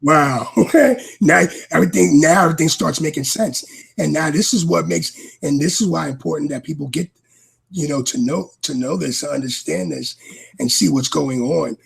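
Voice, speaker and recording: A man's voice: on the high side, normal speed, vocal fry, husky. A man from New York with a New York accent, rambling animatedly in a casual chat as a podcast guest.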